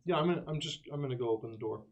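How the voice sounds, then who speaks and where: A man's voice: mid-range voice, racing. A man chatting casually as a player in a tabletop game. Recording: background noise with clicks.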